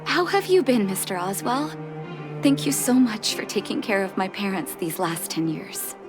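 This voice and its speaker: A woman's voice: medium pitch, expressive, normal speed, over music, lightly acted. A woman voicing a caring, composed young doctor in a video game, earnest and polite. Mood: emotional. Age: young adult. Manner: soft-hearted, earnest, polite.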